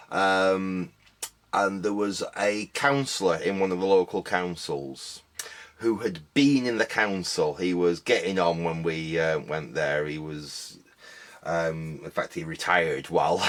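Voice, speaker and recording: A man talking casually to camera about his car boot finds, rambling and repeating "like I said", his voice a little croaky. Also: low-pitched, fairly bright tone. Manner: rambling, repeats "like I said", many ums. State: slightly croaky voice.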